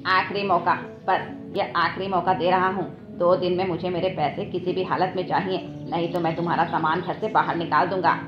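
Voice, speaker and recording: A woman's voice: fairly low pitch, flat delivery, moderate pace, few pauses, lightly acted. A woman voicing a stern, impatient male hawk landlord in a cartoon, insistent and scolding. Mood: threatening. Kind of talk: Hindi cartoon voice acting. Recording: echoey, dull audio with background music.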